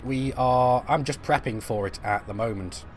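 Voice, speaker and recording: A man's voice: mid-range voice, moderate pace, faint music behind. Chatty man streaming a game. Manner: chatty, rambling, answers chat, frequent fillers and restarts. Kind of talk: casual live-stream chat while playing.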